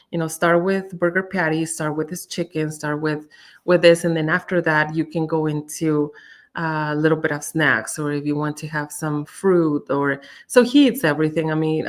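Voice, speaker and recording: A woman talking candidly as a guest on a live stream, chatty, with many fillers and restarts. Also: low voice. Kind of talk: casual chat on a live stream.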